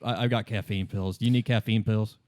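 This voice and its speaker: A man playing a tired, dry police detective in a tabletop game, speaking in a halting, deadpan way. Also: fairly low pitch, dry recording, natural voice. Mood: weary, matter-of-fact.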